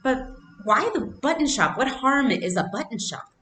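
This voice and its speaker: A woman's voice: sits high, animated, normal speed, fairly bright tone, roomy sound, faint music behind, pitched above the performer's own voice. A woman reading a storybook aloud, voicing an earnest, curious and worried young girl. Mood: worried questioning.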